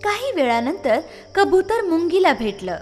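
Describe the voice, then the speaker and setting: A woman tells a children's story in an expressive, scripted narration. Her voice is high and swings widely in pitch. It carries a long reverb, with faint music underneath. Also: unhurried.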